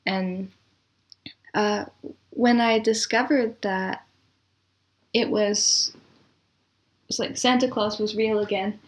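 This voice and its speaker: A woman's voice: medium pitch, steady tone, slow pace, long pauses. Chatty woman in a casual interview. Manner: chatty, laughs easily. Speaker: interview guest, composer and arranger. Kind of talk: casual conversational interview answers.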